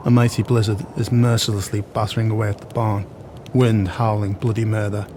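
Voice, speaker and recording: A man narrating scene directions for an audio play in a measured, descriptive manner. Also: on the low side, flat delivery, background noise.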